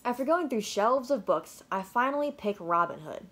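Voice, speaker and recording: Animated woman reading a children's storybook. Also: a little high, moderate pace, slightly rough. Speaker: storybook reader. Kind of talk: expressive children's read-aloud narration.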